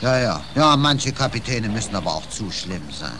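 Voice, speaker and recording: A man's voice: lowish voice, sing-song, moderate pace. An actor playing a good-natured, naive policeman in a 1940s radio drama, exclamatory and full of concern. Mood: kindly, curious. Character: kindly, naive, easily shocked foreign policeman. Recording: noisy old 1940s radio recording.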